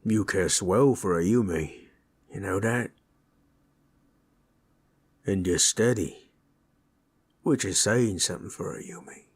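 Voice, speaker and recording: A man voicing a gentle, proud orc warrior in an intimate audio roleplay, telling tales in a folksy, rough-hewn, husky way. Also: low voice, slow pace, long pauses, full character voice. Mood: tender, flirtatious. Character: gentle, proud male orc warrior storyteller, affectionate, teasing. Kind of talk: intimate comfort roleplay storytelling.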